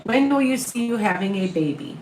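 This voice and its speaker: A woman with a Midwestern accent talks to her live viewers in a calm, comforting way. The room around her is echoey, with a faint hum behind her voice. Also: fairly high pitch, fairly quick. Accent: Upper Midwest American. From American Midwest. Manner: calm, soothing, reassuring. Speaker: tarot reader and medium. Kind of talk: live-stream reading viewer questions.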